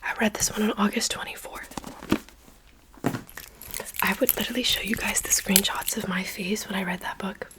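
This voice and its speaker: A woman's voice: mid-range voice, steady tone, normal speed, long pauses. A young woman whispering a chatty, dramatic book review in an ASMR style.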